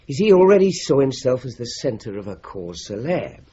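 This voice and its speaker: An actor playing a measured senior investigator who sums up the case, in a radio drama. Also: male voice, mid-range voice, big pitch swings, mellow, breathy edge. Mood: reflective. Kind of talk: BBC-style radio drama acting. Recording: dull lo-fi radio play recording.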